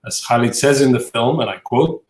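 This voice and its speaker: A male podcast host introducing a film and then questioning his guest, with a halting delivery full of fillers. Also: mid-range voice, roomy sound.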